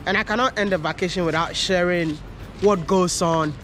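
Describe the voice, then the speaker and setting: A man's voice: high voice, steady tone, moderate pace, a bit bright, slight background noise. A young man from Ghana vlogging to a handheld camera at arm's length, chatting casually and laughing often. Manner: upbeat, laughs often, talks straight to camera. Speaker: travel vlogger. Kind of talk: casual vlog chat. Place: outdoors at a seaside resort. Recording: background music. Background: music.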